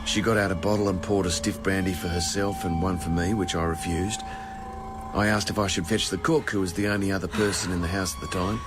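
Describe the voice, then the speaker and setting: Man acting a low-voiced, shaken gardener. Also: fairly even intonation, a little fast, music in the background, lightly acted. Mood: troubled, subdued. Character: shaken, dutiful old-time college gardener giving a statement. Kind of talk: dramatised witness statement, storytelling.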